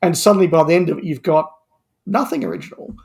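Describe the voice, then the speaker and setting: A man's voice: on the high side, expressive, quick pace, creaky, darkish tone. A man speaking as a podcast guest in an opinionated discussion, stressing his points by repeating words and phrases. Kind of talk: animated opinionated panel discussion.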